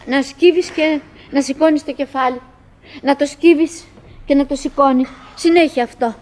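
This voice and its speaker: An actress playing a chattering, wistful, nagging wife who clings to cheer, in halting, repetitive theatrical monologue for an absurdist radio play. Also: female voice, sits high, steady tone, darkish tone, lightly acted. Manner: halting, repetitive, theatrical, mimicking remembered dialogue.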